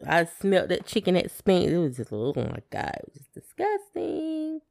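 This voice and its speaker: A woman chatting casually as a podcast co-host in a hesitant, low-key way. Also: wildly swooping pitch, normal speed, vocal fry, darkish tone. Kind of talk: casual chat.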